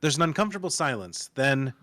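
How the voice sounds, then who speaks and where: A man's voice: mid-range voice, sing-song, quick pace, fairly bright tone, no echo, studio quality. A man narrating, reading screenplay stage directions aloud as part of a table read.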